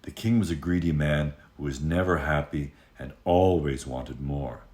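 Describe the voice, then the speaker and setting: A man telling a fairy tale aloud as an expressive storyteller. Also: a bass voice, moderate pace.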